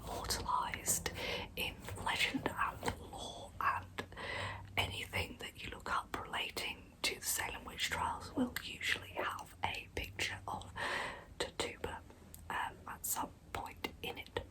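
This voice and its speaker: A woman whispering a true crime story as an ASMR host, hushed throughout. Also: unhurried, rough recording.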